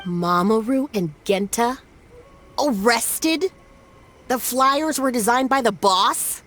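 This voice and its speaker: A woman's voice: a little high, takes it slowly, fairly bright tone. An actress playing a polite, inquisitive young townswoman in a video game, questioning and reacting openly. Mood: surprised, suspicious.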